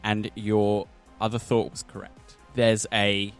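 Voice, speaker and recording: A man's voice: lowish voice, little pitch movement, slow, clear, resonant tone. A man narrating a tabletop roleplaying game as the game master. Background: music.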